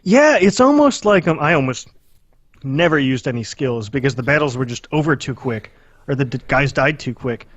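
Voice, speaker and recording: A man's voice: medium pitch, animated, normal speed, no echo, lo-fi sound. A man co-hosting a podcast, chatting casually about video games in a blunt, sweary, exaggerating way. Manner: blunt, profane, hyperbolic. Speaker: podcast host. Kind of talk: casual video game discussion.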